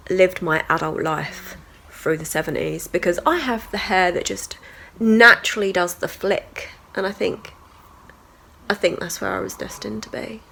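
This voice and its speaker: A woman's voice: mid-range voice, creaky, fairly bright tone, slight background noise. A woman, chatting casually in a video diary at home with a stuffy nose from a cold, rambling with lots of "like" and "so yeah". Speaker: vlogger. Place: at home and in the garden.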